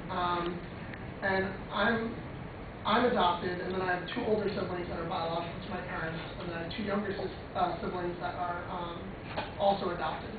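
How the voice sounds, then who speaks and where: A woman in her twenties from Long Island gives an informal, rambling personal presentation in a conversational way, with many likes. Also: lowish voice. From Long Island, United States. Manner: rambling, conversational, uses "like" often. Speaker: student presenter, creative trainer. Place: echoey room, recorded from far off. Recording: muffled lo-fi, echoey room, distant mic, noisy.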